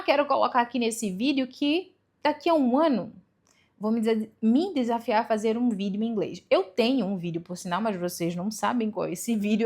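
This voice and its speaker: A woman's voice: medium pitch, darkish tone. A young woman, giving casual advice to camera in a chatty way, with rhetorical questions and self-corrections. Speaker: vlogger giving language-learning tips.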